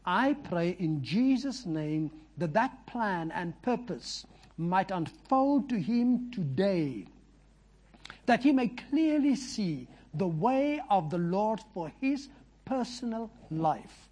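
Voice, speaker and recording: A high, husky male voice with an Afrikaans first language, leading a church service. He prays fervently and chats easily with the people in the pews. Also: very animated, takes it slowly, a little mellow. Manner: fervent prayer, chatty asides to congregants. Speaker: visiting preacher. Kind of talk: sermon and prayer.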